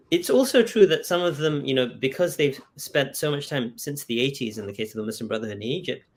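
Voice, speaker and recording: A man speaking as a scholar in an earnest intellectual debate, insistent, pausing mid-thought and often checking for agreement. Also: medium pitch, vocal fry. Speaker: scholar and author in discussion. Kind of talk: earnest intellectual debate over video call. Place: video call.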